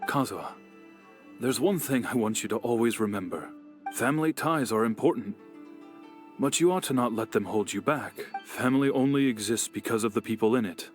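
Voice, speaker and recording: A man acting as a weary nobleman at the head of a fading clan, in a dramatic game cutscene. He speaks in a middling male pitch with measured, solemn earnestness, and music plays softly behind him. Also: natural voice. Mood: earnest, gentle.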